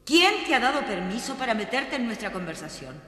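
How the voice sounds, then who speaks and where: Prim conscience spirit for a children's record: sharp, animated, scolding, drenched in reverb. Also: female voice, fairly high pitch, a little fast. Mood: indignant scolding. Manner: admonishing, theatrical. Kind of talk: scripted audio storybook acting. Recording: strong echo with long reverb.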